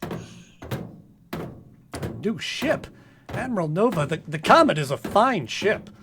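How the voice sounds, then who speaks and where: Earnest, pompous space commander played by a man: slightly high, unhurried, theatrical hesitations, faintly noisy radio comedy. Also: very animated, heavily acted. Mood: surprised, wary. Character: earnest, self-important, melodramatic male space hero. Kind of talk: comic old-time-radio-style acting.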